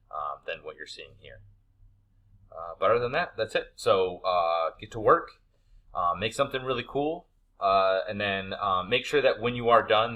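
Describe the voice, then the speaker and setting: A male instructor with a low voice and a bright, forward edge. He walks students through an assignment in informal language, keeping an easygoing, laid-back manner. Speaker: instructor of an animation class. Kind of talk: informal spoken assignment walkthrough.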